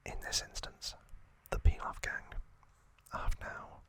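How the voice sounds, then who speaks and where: Slow whispering man, ASMR style. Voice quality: breathy unvoiced whisper. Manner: hushed, unhurried, short phrases with pauses. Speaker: ASMR host. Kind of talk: whispered ASMR trivia recap of an anime story.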